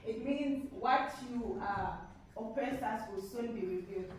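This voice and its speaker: A young woman from Zambia with a Zambian accent gives an informal presentation as a student panelist. Her voice is slightly high and conversational, with small asides. It is picked up from a distance in a very reverberant room. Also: measured pace. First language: a Zambian language. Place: large echoey room at a public event. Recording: very echoey room, distant mic, faint noise.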